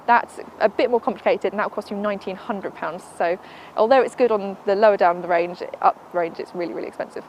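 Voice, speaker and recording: A woman, a car reviewer giving a brisk, matter-of-fact verdict on an in-car system. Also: medium pitch, a little mellow, a little hiss or hum, low-quality recording. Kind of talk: product review.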